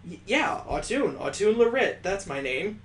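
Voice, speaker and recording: A man voicing an awkward, nervous young man from a visual novel, babbling and stammering haltingly. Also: high-pitched, big pitch swings, fairly bright tone, slight background noise, voice pushed up, full character voice. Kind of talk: visual novel character reading. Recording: echoey, roomy sound.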